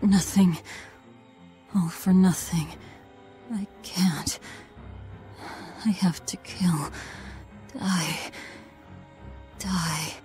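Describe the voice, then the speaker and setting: A woman's voice: fairly low pitch, steady tone, extremely slow, long pauses, warm tone, soft background music. A woman playing a bitter, tormented young killer with a raw, intense delivery in a game cutscene. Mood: broken despair. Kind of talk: dramatic game cutscene acting.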